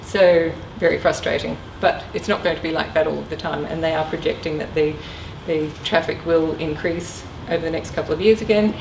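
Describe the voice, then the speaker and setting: Middle-aged female guest speaker, informal career talk, lowish voice, distant mic, noisy room. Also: fairly even intonation, fairly quick, hardly any pauses. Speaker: guest speaker, former air traffic controller. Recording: echoey room, distant mic, clear noise.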